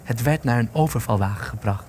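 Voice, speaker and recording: A man's voice: mid-range voice, brisk, a little mellow, a little hiss or hum, natural voice. An actor playing a bitter, haunted, agitated man in wartime hiding, speaking intensely and accusingly in a Dutch radio play. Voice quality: creaky. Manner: intense, emphatic, declamatory.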